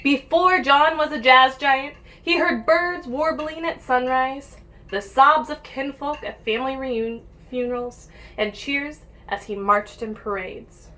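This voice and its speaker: A woman's voice: sits high, measured pace, sharp tone. A woman teacher reading a picture book aloud to young pupils, addressing them directly and encouragingly with questions and asides, on a home-made recording.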